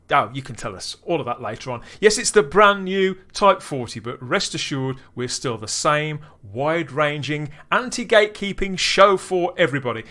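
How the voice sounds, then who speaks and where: A man hosting a podcast, chatting casually and delivering upbeat, theatrical links with quick laughs. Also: on the high side, fairly bright tone. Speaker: podcast host.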